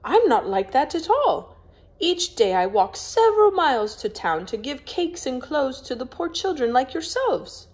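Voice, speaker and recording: A woman's voice: sits high, very animated, a little slow, darkish tone, pitched above the performer's own voice. A woman voicing a proud, stiff young lady in a storybook, with a prim, formal, scolding manner. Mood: indignant.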